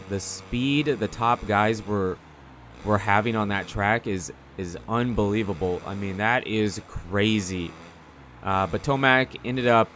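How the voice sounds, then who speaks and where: A man with a fairly low voice commentates casually over gameplay. He talks in a loose, slangy, conversational ramble. There is noticeable noise behind his voice. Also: a bit bright. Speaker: gaming video commentator.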